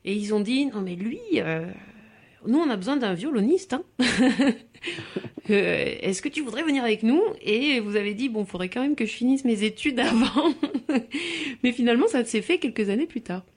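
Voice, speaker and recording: A woman's voice: fairly high pitch, expressive, normal speed, breathy edge. A woman hosting a casual radio interview, prompting her guest and adding her own recollections. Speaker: radio host.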